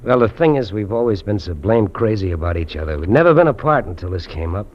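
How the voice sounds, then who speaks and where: Low-voiced, lonely fugitive trapper. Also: male voice, animated, quick pace. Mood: wistful, tender. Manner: earnest, wistful, confiding. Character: lonely, lovestruck fugitive trapper, adult man. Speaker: actor in a radio drama. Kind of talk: 1950s radio drama acting. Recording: dull, noisy old radio recording.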